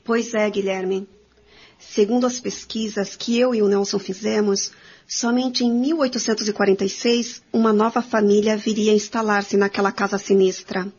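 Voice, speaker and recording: A Brazilian woman with a husky voice, narrating a scripted radio drama. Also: medium pitch, steady tone, moderate pace, darkish tone. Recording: dull lo-fi radio recording.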